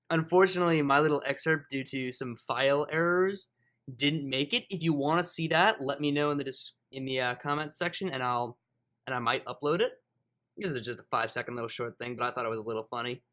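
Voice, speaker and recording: A man's voice: on the high side, normal speed, a bit bright. A man who reads fan fiction aloud and hosts his own channel. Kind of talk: dramatic scripted story narration, then casual outro. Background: music.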